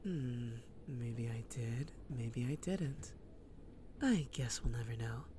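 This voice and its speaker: A woman playing a captured male serial killer in a scripted audio roleplay, husky, evasive and dramatic. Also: a bass voice, lively intonation, takes it slowly, warm tone, slight background noise, exaggerated voice. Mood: sly, evasive.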